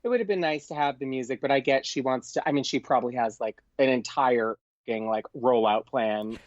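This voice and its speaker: A dull, slightly muffled and dry recording of a man as a podcast guest. He talks casually in an effusive, gushing way with plenty of filler words, at a middling pitch. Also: a little fast, dry recording. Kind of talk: casual pop culture chat.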